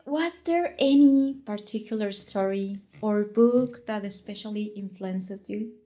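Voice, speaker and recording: A woman with a warm, mellow voice asks casual interview questions in the middle range of pitch. She often adds short, encouraging sounds of agreement. She is the channel's host, speaking in a guest's home. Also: takes it slowly. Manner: brief encouraging backchannels.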